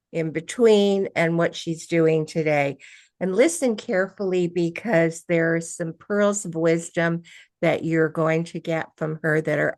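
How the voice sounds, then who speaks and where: A woman's voice: lowish voice, no echo. A woman hosting a podcast interview, asking questions in a chatty way with fillers. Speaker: podcast host.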